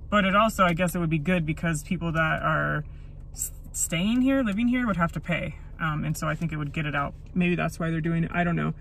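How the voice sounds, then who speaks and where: A woman's voice: fairly low pitch, a bit bright, a little hiss or hum. A woman in her thirties vlogging alone from inside a parked jeep at a rainy campsite, thinking aloud with many ums. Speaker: solo road-trip vlogger. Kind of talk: casual vlog chat. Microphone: phone camera at arm's length.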